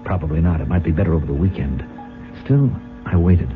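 A man's voice: a bass voice, brisk. An actor in a 1970s radio drama playing an anxious, ambitious businessman, uneasy and dramatic as he is drawn into a guilty scheme. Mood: brooding narration. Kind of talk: 1970s radio drama acting, first-person narration. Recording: phone-like vintage radio recording, faint music.

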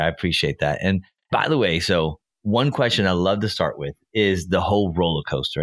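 A man's voice: low voice, fairly bright tone, dry recording, studio quality. A man born in Colombia hosting a casual podcast interview, enthusiastic and a bit rambling, repeating his praise. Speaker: podcast host.

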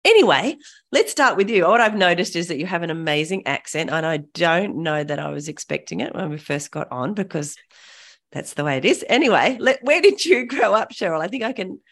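Woman podcast host, kinda low voice, chatty and giggly, upbeat casual interview style. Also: lively intonation, a little fast, a bit bright.

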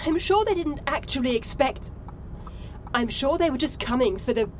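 High-voiced, composed, inquisitive woman. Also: animated, normal speed, a little hiss or hum. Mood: wry curiosity.

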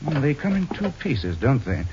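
An actor playing a suave, dryly witty gentleman detective in an old radio drama, urbane and deliberate. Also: medium pitch, sing-song, background noise, natural voice. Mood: thoughtful, deliberate. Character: suave, wry gentleman detective, cool and unhurried. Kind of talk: 1940s-50s radio acting. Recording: muffled old radio recording.

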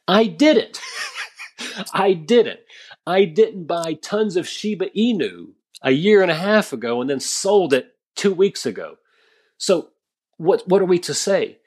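A man in his thirties, a guest on a podcast, giving energetic, emphatic advice and often calling the host "man". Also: sits high, takes it slowly, slightly rough. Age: 30s. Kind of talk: podcast conversation.

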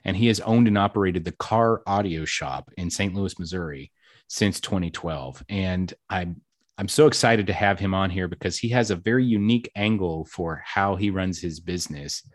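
Low-voiced talkative male podcast host. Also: moderate pace. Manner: talkative, upbeat, self-deprecating jokes. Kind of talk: casual podcast interview.

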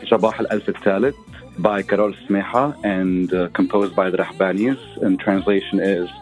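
Deep, flat-voiced man from Lebanon. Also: faint music behind. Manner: unhurried, reflective, with pauses and fillers. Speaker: listener leaving a voicemail. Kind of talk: voicemail message.

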